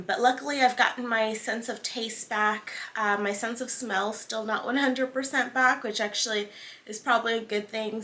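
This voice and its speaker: A young woman hosting a knitting podcast in casual chat, sounding congested and talking in a relaxed way with many fillers. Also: medium pitch.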